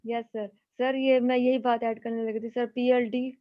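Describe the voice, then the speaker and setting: Even-toned, higher-pitched Pakistani woman, dull recording. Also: unhurried. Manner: deferential, repeatedly addresses teacher as sir. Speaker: law student. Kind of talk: classroom question and comment.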